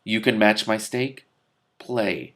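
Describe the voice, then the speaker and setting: A composed lady goddess from a fantasy storybook, read by a man. She is polite and measured, with a gravelly edge to the voice. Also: fairly low pitch, fairly bright tone, full character voice.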